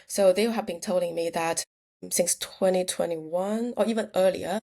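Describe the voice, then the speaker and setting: A woman's voice: fairly low pitch, moderate pace, mellow. A woman from China with a Chinese accent, a native Chinese speaker, answering interview questions earnestly with restarts. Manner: earnest, plain phrasing with restarts. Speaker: researcher and guest.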